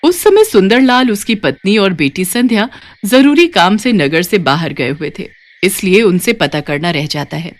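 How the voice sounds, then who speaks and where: Expressive woman narrating a moral tale. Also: medium pitch, moderate pace. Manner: expressive storytelling. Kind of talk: scripted storybook narration.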